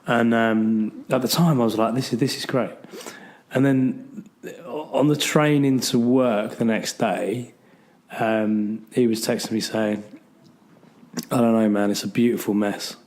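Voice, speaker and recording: A man's voice: medium pitch, steady tone, measured pace, a little mellow. A man with a bad cold and a fading voice, chatting loosely as a podcast co-host with lots of ums and restarts. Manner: rambling, full of ums and restarts. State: has a bad cold, losing his voice. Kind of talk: casual chat.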